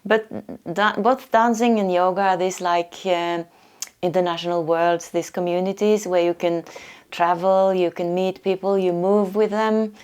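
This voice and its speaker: A middle-aged woman from Norway with a Norwegian accent, a yoga teacher speaking softly and thoughtfully in a portrait interview, pausing to find her words. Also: fairly low pitch, steady tone, clear, resonant tone. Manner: soft-spoken, thoughtful, pauses to search for words. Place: yoga retreat.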